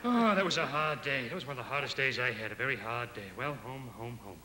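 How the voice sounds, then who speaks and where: A man's voice: mid-range voice, expressive, fast, sharp tone. A man playing a bossy, exasperated schemer in a stage comedy sketch, explaining things insistently and repeating himself. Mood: weary muttering. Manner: insistent, repetitive. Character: bossy, exasperated bank-robbery instructor with psychobabble. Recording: echoey noisy old TV theatre recording.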